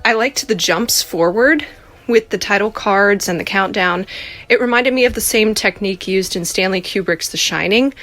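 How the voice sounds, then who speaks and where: A woman's voice: medium pitch, a bit bright. A woman leaving casual feedback as a podcast listener, talking in a conversational, musing way. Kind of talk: casual personal commentary.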